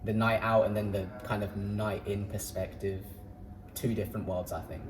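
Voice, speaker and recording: Young man, low voice, hesitant thoughtful chat, faint hiss. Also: level tone, normal speed. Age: young adult. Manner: thoughtful, hesitant, many fillers. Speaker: guest. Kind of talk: casual interview chat.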